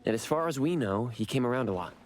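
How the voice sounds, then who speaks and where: A man's voice: medium pitch, lively intonation, fast, darkish tone, natural voice. A man playing a reserved, polite young man in an anime game, speaking in an understated, hesitant way. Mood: polite, earnest.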